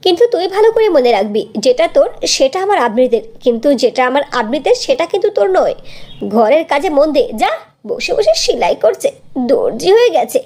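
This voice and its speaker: Cartoon villain stepmother female actor: shrill-high pitch, sarcastic, demanding, always scolding. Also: big pitch swings, moderate pace, a little mellow. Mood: stern, mocking. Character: cruel bossy stepmother, scolding and greedy. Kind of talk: Bengali fairy-tale cartoon dubbing.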